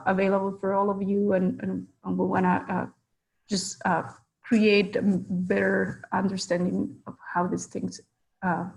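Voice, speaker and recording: A woman researcher presents over a video call, searching for words with plenty of pauses and fillers. Her voice is even and level, with a gravelly rasp at the edges. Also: medium pitch, poor audio. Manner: hesitant, many fillers and restarts. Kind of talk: online webinar presentation.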